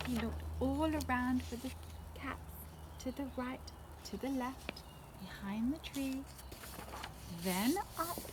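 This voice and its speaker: A woman storyteller reading a picture book aloud to children, with dramatic pauses and questions put to her young listeners. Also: sits high, noisy background.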